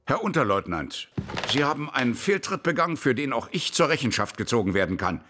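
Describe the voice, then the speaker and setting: A man's voice: mid-range voice, big pitch swings, brisk, over music, close to an everyday voice. An actor playing an older army captain, a stern yet good-natured superior with a fatherly manner, in a radio drama. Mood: stern, reproachful.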